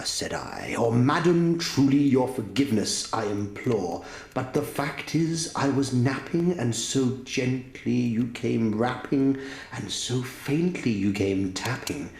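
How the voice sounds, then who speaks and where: A man giving a dramatic recitation of a gothic poem, theatrical and often dropping to a hushed near-whisper. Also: medium pitch, breathy edge. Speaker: poetry reader. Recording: noticeable background noise.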